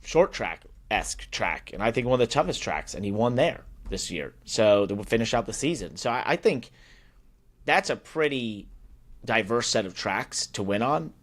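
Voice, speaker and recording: A man's voice: mid-range voice, animated, normal speed. A man co-hosting a motorsports podcast in casual, conversational sports discussion.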